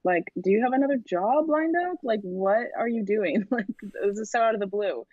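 A woman's voice: medium pitch, lively intonation, moderate pace, no room sound, narrow, tinny audio. A young woman from the United States, a guest on a video call, chatting casually with frequent laughs and lots of "like". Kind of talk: casual interview chat. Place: room at home by a window.

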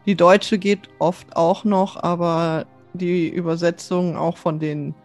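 A woman answering a survey question, talking quickly with laughs and many fillers. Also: fairly low pitch, level tone, darkish tone, soft background music. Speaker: interviewee.

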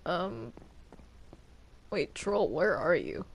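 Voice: mid-range voice, animated, very slow, creaky, husky. A player chatting casually and hesitantly during a multiplayer game roleplay session. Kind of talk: casual gameplay chat with roleplay. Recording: noisy home game recording.

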